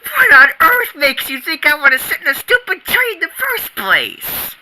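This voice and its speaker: A prim, bossy little girl, played by a performer, with a high, bright voice. She sounds snippy and superior. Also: wildly swooping pitch, normal speed, heavily acted, poor audio. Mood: indignant.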